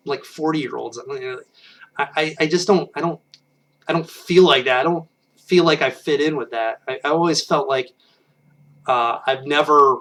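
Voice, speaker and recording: A man's voice: mid-range voice, fairly bright tone, distant mic. A man in his late thirties casually chatting on a live stream, rambling and self-deprecating with frequent restarts, recorded on a webcam. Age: 30s. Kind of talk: casual chat with viewers.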